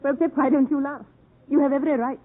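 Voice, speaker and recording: An actress playing a proud, wounded young English gentlewoman in a measured, formal, melodramatic 1950s radio drama style. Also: sits high, fairly even intonation, lightly acted. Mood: cold, resigned. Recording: tinny vintage 1950s radio, music behind.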